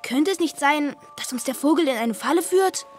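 A performer with a high voice playing a sulky, sceptical, needling young boy who grumbles and challenges, in a German audio drama. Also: animated, moderate pace, faint music behind. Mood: suspicious, challenging.

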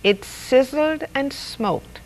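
A woman's voice: high voice, animated, slow pace, poor audio. A middle-aged woman reading a children's story aloud expressively, acting out the characters' lines as she goes. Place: studio set dressed as a reading nook with stuffed toys.

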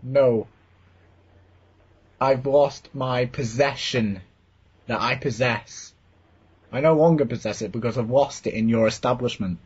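A man playing a slow, pedantic, over-formal customer on a prank phone call. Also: mid-range voice, slightly rough. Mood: stilted, formal. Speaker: prank caller.

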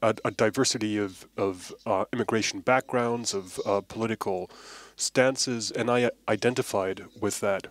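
A man's voice: on the low side, fairly even intonation, moderate pace. Older man interpreting on a panel.

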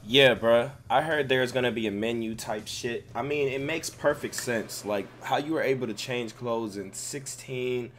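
A man's voice: mid-range voice, moderate pace, hardly any pauses, fairly bright tone, slight background noise. A young American man giving casual, sweary gaming commentary with a slangy, venting manner. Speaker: gaming video creator commenting on clips. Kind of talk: casual, profanity-laden commentary. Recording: music playing behind voice. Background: music.

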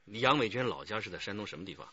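An actor playing a brisk, decisive police detective chief in a Chinese radio drama, with a husky voice. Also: male voice, on the low side, animated, sharp tone, no echo, muffled recording, heavily acted. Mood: probing.

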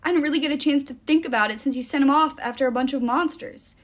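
Woman as wounded teenage boy, raised pitch, curt, reproachful, sharp-tongued, audiobook character. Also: quick pace, close to an everyday voice. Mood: hurt, angry.

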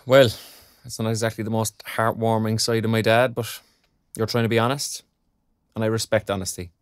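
An actor playing a frank, sardonic young Irish graduate in an audio drama, speaking colloquially. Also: male voice, on the low side, flat delivery, moderate pace, smooth, no echo, studio quality, lightly acted. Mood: reflective, frank. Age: young adult. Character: young underemployed arts graduate, frank and wry. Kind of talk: naturalistic audio drama dialogue.

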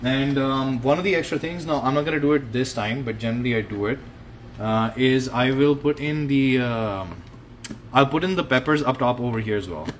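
A man's voice: medium pitch, normal speed. A man in his thirties chatting loosely and jokingly while he cooks in his home kitchen, recorded on a nearby phone. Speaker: fitness coach and vlogger. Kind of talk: casual livestream cooking chat. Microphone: phone camera held or propped nearby. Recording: echoey kitchen with clear ambient noise.

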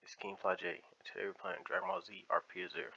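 A man's voice: sits high, fairly even intonation, fast, gravelly, sharp tone. A man hosting a gaming video with casual commentary, often musing to himself as he plays. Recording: muffled lo-fi mic with faint noise.

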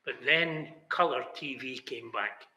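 A man's voice: mid-range voice, a little slow, sharp tone. An older man preaching a sermon in a small chapel, earnest and emphatic. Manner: earnest, emphatic, gestures with both hands. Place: small chapel, indoors, banner behind. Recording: roomy chapel echo.

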